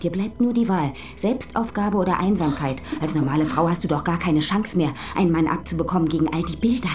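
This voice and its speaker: An actress playing an intense, bitter woman visitor who talks in a sharp, insistent and preachy way. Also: lowish voice, brisk, a little hiss or hum, close to an everyday voice. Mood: scornful.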